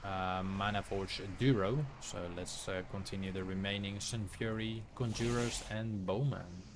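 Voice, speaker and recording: A Dutch man with a low-pitched voice streams his gameplay. He comments casually in Dutch-accented English as he goes, with faint game noise behind him. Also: steady tone, unhurried. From Netherlands.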